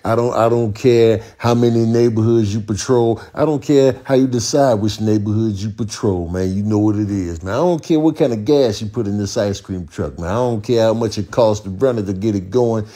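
A male comedian giving a comedic rant in slangy, emphatic, deadpan exasperation. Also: on the low side, fairly quick, few pauses. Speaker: comedian commentator.

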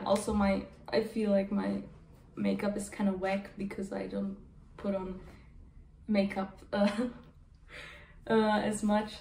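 Slow-talking young woman in an echoey room. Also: mid-range voice, warm tone, far from the mic, a little hiss or hum. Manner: rambling, chatty, giggly, many fillers. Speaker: reaction channel host. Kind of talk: casual chat to camera. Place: bedroom at home, indoors. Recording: echoey room, slightly off mic.

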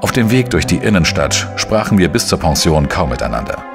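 An actor playing a world-weary, wry male investigative journalist, narrating a crime audio drama in a dry, measured way. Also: low voice, sing-song, gravelly, exaggerated voice. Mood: weary, matter-of-fact. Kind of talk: scripted first-person crime drama narration. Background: music.

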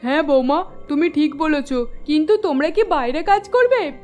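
A woman plays an elderly village mother-in-law in a cartoon story. Her voice is very high, plaintive and dramatic, anxious yet caring and decisive. Music plays underneath. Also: moderate pace, darkish tone, lightly acted. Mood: matter-of-fact.

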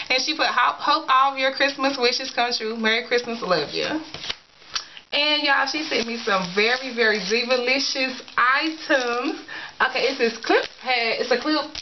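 A woman in her thirties who is sick, her voice a little raspy, chatting casually to camera as a vlogger, gushing and giggling and calling her viewers y'all. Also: fairly high pitch, lo-fi sound, background noise. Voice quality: slightly raspy. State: sick, voice going. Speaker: beauty vlogger. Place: small indoor room in front of a folding screen.

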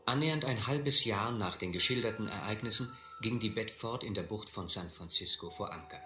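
An actor playing a seasoned, restless South Seas captain, measured and earnest, in a German radio play. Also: male voice, lowish voice, pushed and bright. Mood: reflective. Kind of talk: German radio play narration, literary and scripted. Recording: roomy recording with music behind.

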